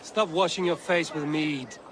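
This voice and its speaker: An actor playing a resolute Viking war leader in a video game, blunt and commanding. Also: male voice, on the high side, measured pace, fairly bright tone. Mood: decisive. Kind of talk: video game drama acting. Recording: clear outdoor game ambience noise.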